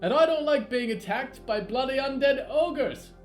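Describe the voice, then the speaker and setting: A man acts a stern, gun-toting woman in an audio drama, pitched up high. The delivery is sharp, forceful and combative, with faint music underneath. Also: animated, moderate pace. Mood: angry.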